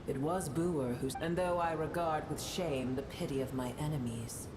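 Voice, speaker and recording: A woman's voice: sits low, normal speed, roomy sound, noisy background, lightly acted. A woman playing an ancient, proud dragon sovereign in a video game, speaking in stately, archaic phrases with cold disdain. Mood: grave, proud. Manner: haughty, archaic, stately formal speech.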